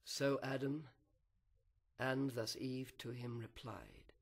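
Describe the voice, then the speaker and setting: A man narrating epic verse in a solemn, measured, literary reading style. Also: medium pitch, creaky.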